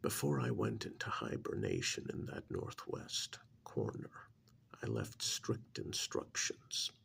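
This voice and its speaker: A man voicing an ancient alien creature who scorns humans, in a gravelly, breathy ASMR roleplay monologue that often sinks into whispers, slow and brooding. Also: extremely low pitch, wildly swooping pitch, a bit bright, slight background noise. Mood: quietly sinister. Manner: slow, deliberate, brooding, with sighs and pauses.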